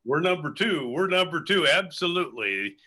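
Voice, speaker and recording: Man playing a forceful, proud restaurant owner. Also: a little high, sing-song, normal speed, exaggerated voice. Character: proud, earnest male small-town restaurant owner on game show. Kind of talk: improvised game show parody.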